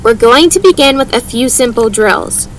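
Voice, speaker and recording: Woman as high-voiced determined young mage leader. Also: animated, normal speed, slight background noise, close to an everyday voice. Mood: firm, instructing. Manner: projected, clear instructing, calling out to a group. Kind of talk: audio drama acting.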